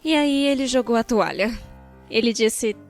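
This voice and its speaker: A Brazilian woman narrating a scripted storytime video in an expressive, wry, colloquial way. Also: on the high side, normal speed. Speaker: narrator of a fan-dubbed animated storytime video. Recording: background music.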